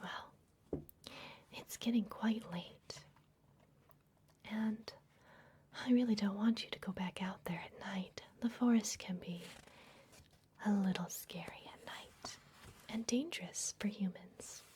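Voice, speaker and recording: A woman whispering gently and unhurriedly in a breathy voice, playing a kindly vampire librarian in an ASMR fantasy roleplay. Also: medium pitch, slow, long pauses. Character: kindly vampire librarian hosting a human guest. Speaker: ASMR performer.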